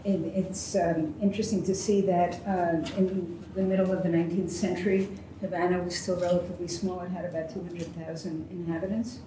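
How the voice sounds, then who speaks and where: A woman's voice: on the low side, level tone, normal speed, few pauses. Hesitant woman interpreting from afar. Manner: hesitant, filler-heavy, trailing off quietly at phrase ends. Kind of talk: consecutive interpretation. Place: university lecture hall. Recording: distant echoey hall with faint noise.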